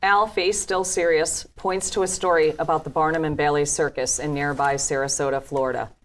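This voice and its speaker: Female narrator for a script reading, deep voice, delivering scene directions. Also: steady tone, fairly quick. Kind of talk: scripted narration at a table read.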